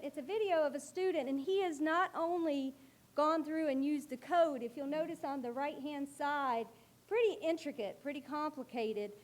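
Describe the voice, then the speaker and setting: A woman school librarian giving a presentation to a board meeting, with a loud, carrying voice and an earnest, explanatory manner. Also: high voice.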